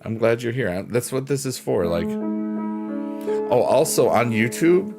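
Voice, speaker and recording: A man with a deep voice hosting a casual livestream, chatting in a rambling, unhurried way with plenty of fillers and reading viewer comments aloud. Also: sing-song, gravelly, music in the background. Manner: rambling, unhurried, many fillers like "you know" and "um". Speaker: livestream host.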